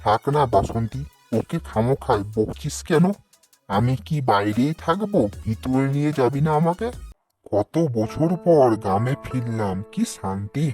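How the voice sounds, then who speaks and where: A good-natured village man , acted by a man in a cartoon. His voice is mid-pitched and mellow, his manner gentle and conversational, with light music underneath. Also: lively intonation, a little slow, natural voice. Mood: gentle, fond. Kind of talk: cartoon dialogue acting.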